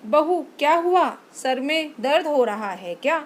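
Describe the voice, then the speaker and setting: A woman's voice: sits high, expressive, a little slow. A woman voicing a caring older mother-in-law who coaxes her daughter-in-law with concern. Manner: concerned, coaxing.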